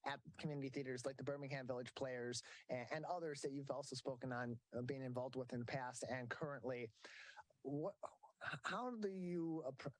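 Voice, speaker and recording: A man's voice: medium pitch, fairly even intonation, brisk, no echo, rough recording. A man hosting an interview, asking long, winding questions with some fillers. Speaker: host.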